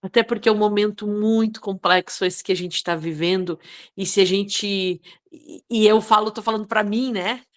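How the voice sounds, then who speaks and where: Woman, Brazilian accent, medium pitch, reflective group talk, frequent tag questions. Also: fairly even intonation, normal speed. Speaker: study group participant. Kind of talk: spoken spiritual reflection in a group discussion.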